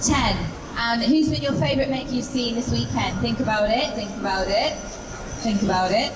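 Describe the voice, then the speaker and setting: Chatty lady hosting a live event, high voice, big echoey room, mic kinda far. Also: normal speed. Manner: chatty, encouraging. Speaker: event host. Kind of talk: live stage hosting with audience questions. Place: large indoor event hall. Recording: echoey noisy event hall, distant microphone.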